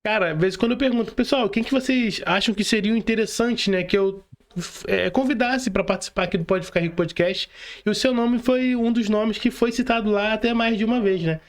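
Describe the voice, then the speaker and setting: A man with a Brazilian accent speaks in a clearly high register. He hosts a podcast in a conversational way, using informal slang and addressing both his guest and the listeners directly. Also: a little fast. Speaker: podcast host.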